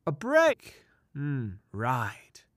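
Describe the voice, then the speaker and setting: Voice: mid-range voice, huge pitch swings, very slow, dry recording, clean studio sound, exaggerated voice. A performer playing a teasing, sceptical sidekick to a storyteller in comic fantasy banter.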